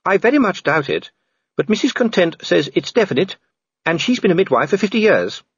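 A man voicing a composed, practical aristocratic woman in an audiobook, brisk and reassuring with her husband. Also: sits high, big pitch swings, husky, no room sound, dull audio, pitched above the performer's own voice, heavily acted. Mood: calm, reassuring.

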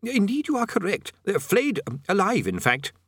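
A man's voice: high-pitched, big pitch swings, normal speed, fairly bright tone, breathy edge, dry recording, studio quality, voice pushed up, exaggerated voice. A man voicing a good-natured, faintly worried old male prisoner in a storybook, polite, hesitant and quaintly formal. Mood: helpful, resigned.

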